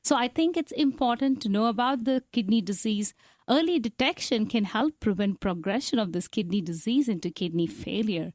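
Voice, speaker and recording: Woman doctor as host, measured questions, dry studio boom mic. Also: darkish tone, no echo. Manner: scripted intro, asks careful questions. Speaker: host, physician. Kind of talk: health radio show hosting. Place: radio studio.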